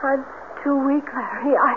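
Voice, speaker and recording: A woman's voice: high voice, normal speed, natural voice. An actress playing a frail, mysterious, feverish young woman in a 1970s radio drama, pleading tenderly in a weak voice. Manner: languid, pleading. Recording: tinny radio recording, loud hiss and noise.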